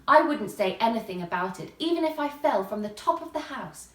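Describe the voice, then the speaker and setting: A woman's voice: on the high side, expressive, a little fast, echoey room, distant mic, close to an everyday voice. A woman voicing a curious, chatty little girl who muses aloud to herself in an earnest, dreamy way in a storybook reading. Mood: wondering, chatty. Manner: musing aloud to herself, wondering.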